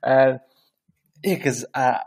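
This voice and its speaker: A man from Indonesia hosting a podcast, chatting casually and hesitantly, often pausing on "uh" as he searches for words. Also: mid-range voice, fairly even intonation, a little mellow, husky, dry recording. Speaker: podcast host. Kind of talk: casual interview chat.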